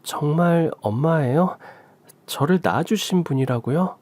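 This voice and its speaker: A man's voice: a little high, animated, unhurried, darkish tone, no room sound, pitched above the performer's own voice. A man voicing a woman around forty who bitterly reproaches her mother. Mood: stunned. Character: hurt adult daughter, woman around forty.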